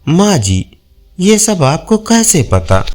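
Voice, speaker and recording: Man as a gentle devout village husband, lively intonation. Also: high-pitched, takes it slowly, soft background music, heavily acted. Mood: respectful.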